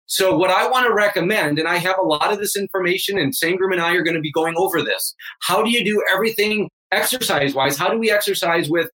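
A man's voice: high-pitched, quick pace, hardly any pauses, bright, forceful tone, rough recording. A man in his fifties, a fitness coach, talking emphatically and energetically as a guest on a remote interview. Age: 50s. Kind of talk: energetic motivational interview talk.

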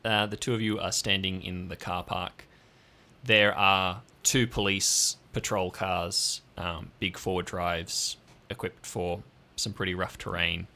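A man narrating as game master of an improvised audio drama, in relaxed, descriptive narration with frequent ums. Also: low voice, measured pace.

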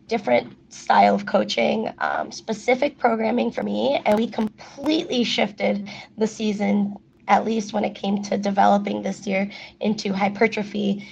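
Chatty woman with a gravelly voice. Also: medium pitch, a little mellow, rough recording. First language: Spanish. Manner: chatty, many fillers, quick laughs. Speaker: interview guest, athlete. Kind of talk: casual interview chat.

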